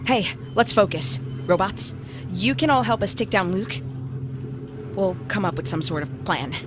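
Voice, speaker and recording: A woman's voice: medium pitch, expressive, a little slow, close to an everyday voice. A woman playing a tough, sardonic survivor in an audio drama, with a blunt, wry manner. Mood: guarded. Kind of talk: scripted audio drama acting. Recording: loud music and effects behind.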